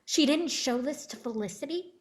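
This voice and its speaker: Woman acting a mother, higher-pitched voice, protective, firm-willed, script reading. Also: expressive, normal speed. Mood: stern, threatening. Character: protective, stern mother, sweet to her child.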